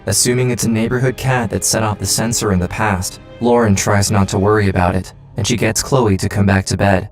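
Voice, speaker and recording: A man's voice: on the low side, steady tone, fairly quick, few pauses, faint music behind. A man reading a dramatic scripted true crime narration. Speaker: documentary narrator.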